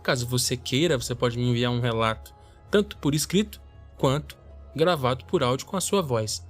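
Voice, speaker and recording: Casual Brazilian man hosting over soft music. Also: medium pitch, a little fast. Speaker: podcast host. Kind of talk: casual chatty podcast introduction.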